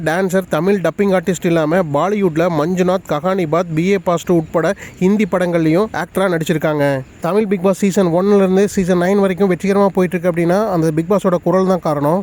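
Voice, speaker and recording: A man reading a scripted entertainment news voice-over in a brisk, informative gossip-reel manner. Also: high voice, fairly even intonation, darkish tone. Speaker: narrator.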